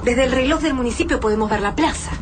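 Actress as high-voiced Argentine woman resistance fighter. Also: quick pace, background noise, natural voice. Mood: alert, urgent. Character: alert, decisive female resistance fighter on the run. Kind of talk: radio drama acting.

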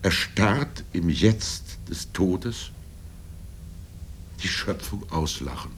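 An older man reading literary first-person prose in a grave, deliberate manner with dramatic pauses. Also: lively intonation. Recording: low rumble and background noise.

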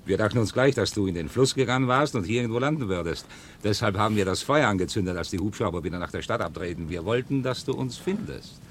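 A male actor playing a calm, wry, hospitable outcast leader in a radio play, talking in an unhurried, conversational way. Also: on the low side, a little hiss or hum, natural voice. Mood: calm, reassuring. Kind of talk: conversational radio drama acting.

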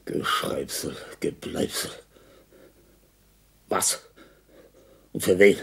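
An actor playing a dying, lonely old composer in a radio drama, bitter and imperious, with a husky, gravelly, halting delivery. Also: male voice, low voice, a bit bright, heavily acted. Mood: weary, bitter. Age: older. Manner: halting, theatrical, swinging between muttering and outbursts. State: weak, shivering with cold. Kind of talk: German radio drama monologue.

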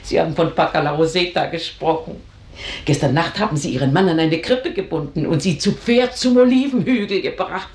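An actress playing a gossipy, plain-spoken older housemaid, talking confidingly in a German radio drama. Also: female voice, deep voice, a little fast, a little mellow, reverberant.

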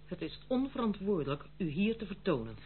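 A woman's voice: medium pitch, sing-song, brisk, close to an everyday voice. An actress in a radio play playing a strong-willed young noblewoman, formal, firm and reproachful. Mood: firm, stern. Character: strong-willed, stern young noblewoman protecting her household. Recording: tinny, phone-like old radio play, slight hum.

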